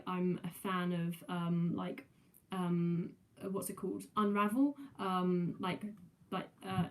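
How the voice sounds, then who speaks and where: A woman's voice: mid-range voice, a little mellow. Young woman, kinda english kinda kiwi accent, lots of ums, casual stream chat, no real mic. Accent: mixed southern English and New Zealand. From England, raised in New Zealand. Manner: hesitant, many ums and restarts. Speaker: musician streamer. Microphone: no proper microphone.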